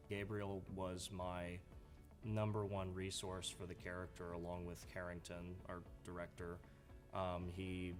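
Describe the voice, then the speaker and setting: A young man, a student actor, speaking reflectively in an interview. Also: sits low. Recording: music under the voice.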